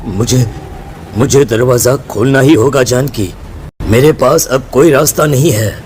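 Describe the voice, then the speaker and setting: A man's voice: mid-range voice, a little slow, darkish tone, slight background noise, close to an everyday voice. A man voicing a worried, protective middle-aged village headman in a cartoon story, grave and nervous. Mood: anxious. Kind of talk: Hindi cartoon voice acting.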